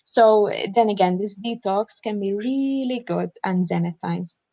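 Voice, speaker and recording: A woman's voice: mid-range voice, measured pace, mellow, lo-fi sound. A young woman from Malta with a Maltese accent, speaking at an online student panel in an earnest way, with many fillers as she thinks aloud. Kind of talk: online panel talk, reading out notes. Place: shared flat, at home. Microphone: computer mic on a video call.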